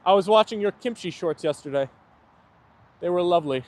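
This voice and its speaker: Man, friendly stream host, slightly high voice, loose chatty delivery outside. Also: a little fast. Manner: friendly, chatty, thanks viewers often. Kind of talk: casual live chat reading viewer comments.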